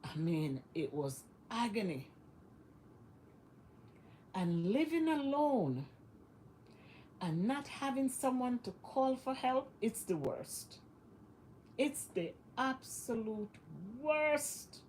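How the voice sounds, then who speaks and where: A woman's voice: a little high, very animated, slow pace, long pauses, a little mellow, slight background noise, low-quality recording. A West Indian woman talking casually to her vlog viewers, sounding congested and nasal from illness and repeating phrases for emphasis. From West Indies. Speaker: vlogger. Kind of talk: casual monologue to camera.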